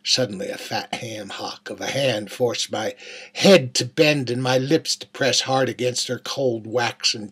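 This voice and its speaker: A man performing a dramatic monologue as a bitter, darkly joking young man of twenty-one, with a husky voice and folksy, colloquial asides. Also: mid-range voice, fairly bright tone. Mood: wry, uneasy.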